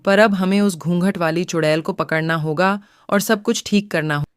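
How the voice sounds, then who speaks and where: A woman's voice: lowish voice, normal speed, no echo, lightly acted. Woman playing a fretful older village mother, dry sound. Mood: worried. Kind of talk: Hindi cartoon story acting. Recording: faint music behind.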